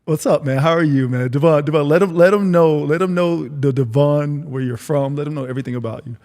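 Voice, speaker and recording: Guy hosting a podcast, gravelly fry in his voice, chatty casual interview style. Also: on the high side, brisk, darkish tone. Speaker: podcast host.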